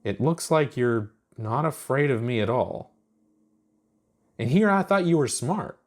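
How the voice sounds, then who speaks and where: A man's voice: mid-range voice, expressive, a little slow, pitched above the performer's own voice, close to an everyday voice. A man voicing a defensive, easily flustered young monster girl for a story. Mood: teasing. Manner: indignant, easily flustered, stammering.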